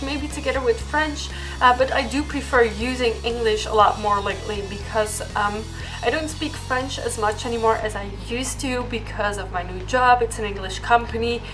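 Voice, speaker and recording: High-voiced young woman. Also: fairly bright tone, rough recording. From Belgium. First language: Dutch. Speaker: vlogger. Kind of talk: casual question-and-answer vlog to camera.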